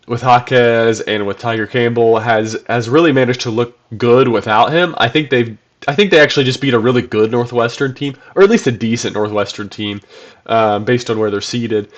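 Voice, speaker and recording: A man's voice: mid-range voice, moderate pace, muffled recording. A man hosting his own basketball channel, sounding congested from a cold, talking casually with lots of ums and restarts. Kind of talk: casual solo commentary video.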